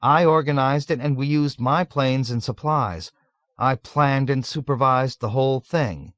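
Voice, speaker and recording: A man's voice: mid-range voice, unhurried, dry recording, voice pushed up, natural voice. A man doing a meek, sly young officer. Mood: demure. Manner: demure, soft, quietly insistent.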